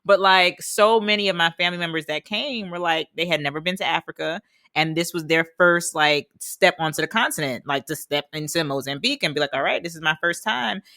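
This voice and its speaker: Forties woman host, punchy bright voice, super dry sound, enthusiastic. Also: lowish voice, fairly quick, dry recording. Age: 40s. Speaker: podcast host. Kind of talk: casual interview conversation.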